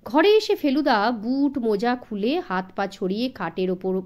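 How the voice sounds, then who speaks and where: A woman's voice: fairly high pitch, expressive, a little slow, a little mellow. A woman narrating a story expressively for children as an audiobook reader.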